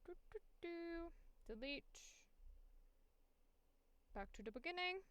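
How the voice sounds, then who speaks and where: A woman's voice: extremely high pitch, animated, slow, pushed and bright, dry recording. A woman chatting casually to herself and her livestream as she works, in a playful, offhand manner. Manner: playful self-talk with sing-song filler noises. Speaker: voice actor and streamer recording auditions. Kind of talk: casual livestream chat while working.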